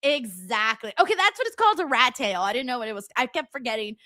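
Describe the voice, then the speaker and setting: A woman hosting a live stream, chatting casually with her viewers and reading out their comments, with a very high-pitched voice. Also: expressive, fast, bright, forceful tone, dry recording, clean studio sound. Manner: chatty, reads viewer comments aloud with filler words. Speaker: streamer and host.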